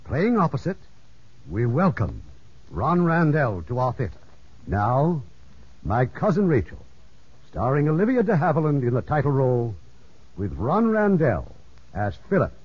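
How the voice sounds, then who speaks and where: A muffled vintage radio broadcast presents a male host. His voice is fairly high and husky, with animated intonation. He addresses the audience with formal showmanship. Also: unhurried, pauses often. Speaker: producer and host. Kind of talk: 1950s radio show introduction.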